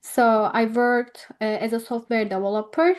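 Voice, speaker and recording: A young woman from Turkey speaking non-native English with a Turkish accent, halting as she searches for words while telling her story as an interview guest. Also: a little high, flat delivery, a little mellow. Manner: hesitant, halting phrasing with many word searches. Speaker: guest speaker, education technology professional. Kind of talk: personal account in an online interview.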